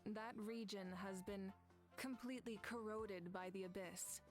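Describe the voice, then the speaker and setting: A woman playing a solemn, duty-bound war-goddess ruler in a video game, speaking in a measured, grave way. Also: medium pitch, fairly even intonation, close to an everyday voice. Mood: grave, resolute. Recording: background music under the voice.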